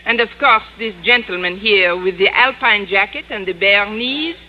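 A woman's voice: medium pitch, moderate pace, close to an everyday voice. A woman playing a schoolteacher posing as a mock-grand, wisecracking Frenchwoman with a put-on French accent, in 1950s radio comedy style. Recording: noisy muffled old radio broadcast recording.

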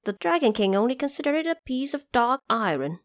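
A woman's voice: high voice, very animated, brisk, no room sound, studio quality, pitched above the performer's own voice. A woman voicing a boastful, gleeful male Monkey King in an audio drama, proud and showy with a commanding streak. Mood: boastful, pleased. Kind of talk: audio drama character acting.

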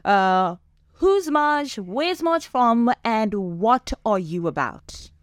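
Slow-talking woman host. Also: a little high, sing-song, dry recording, clean studio sound. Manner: slow, warm-welcoming, affirming. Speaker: podcast host. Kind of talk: hosting a podcast conversation.

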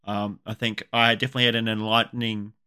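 A man with an Australian accent hosting a casual podcast chat, easygoing and quick to laugh. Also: on the low side, level tone, pushed and bright, clean tone. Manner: easygoing, laughs often, trails into fillers. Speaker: podcast host.

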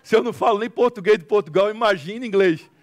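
A man's voice: high-pitched, fast talker. A man with a Brazilian accent giving a motivational testimony to an audience, telling stories dramatically with emphatic repetition and humor. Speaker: guest speaker, social movement founder.

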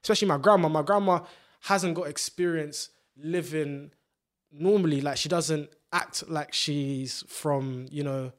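A man's voice: fairly high pitch, a little slow. A young man from the UK, talking about family and migration in a casual, earnest and reflective way. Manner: earnest, reflective, trails off and restarts. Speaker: podcast guest. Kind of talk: casual chat.

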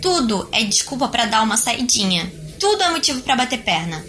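Bright-voiced, outspoken young Brazilian flatmate played by a woman. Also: a little high, fairly quick. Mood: worried, sarcastic. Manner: colloquial, direct. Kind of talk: audio drama dialogue.

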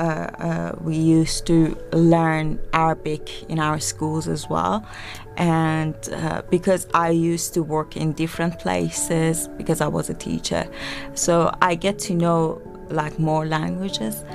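Slow, even-toned woman from Iran. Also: sits low, vocal fry. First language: Farsi. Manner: hesitant, many restarts and fillers. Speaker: midwife, guest. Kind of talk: interview conversation.

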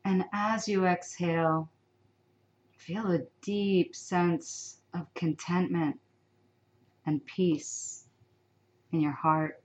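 A woman leading a guided meditation in a soothing, unhurried manner, with long pauses between phrases. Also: on the low side, fairly even intonation.

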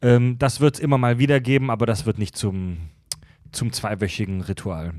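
A man's voice: mid-range voice, fairly even intonation, normal speed, darkish tone, no echo, studio quality. A man co-hosting a casual podcast, reading listener mail and making announcements with plenty of filler sounds. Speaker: podcast co-host.

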